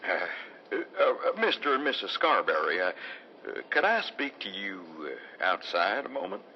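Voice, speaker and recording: Small-town sheriff: low, lively intonation, uneasy and folksy, noisy vintage radio play. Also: male voice, moderate pace, vocal fry, highs cut off, exaggerated voice. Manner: hesitant, folksy. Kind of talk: old-time radio drama acting.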